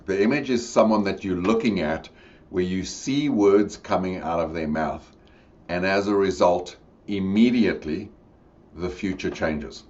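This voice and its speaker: Podcast guest: deep-voiced South African man, middle-aged, thoughtful explainer, dull lo-fi audio. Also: a little slow, a little mellow. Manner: reflective, expansive explainer. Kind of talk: conversational interview.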